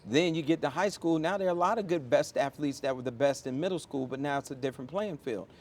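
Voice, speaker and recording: A man's voice: fairly high pitch, fast talker, darkish tone. A middle-aged American man answering interview questions conversationally, with an emphatic, motivational manner and a storyteller's use of examples. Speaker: interview guest, airport chief executive.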